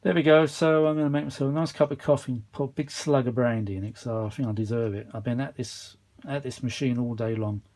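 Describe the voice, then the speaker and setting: A man with a mid-pitched voice chats casually as he works. He rambles without a script, grumbling in a mildly weary way, like a hobbyist repair vlogger talking through a job. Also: steady tone, fast talker, few pauses, darkish tone. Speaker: vintage audio repair vlogger. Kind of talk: casual unscripted workshop chat.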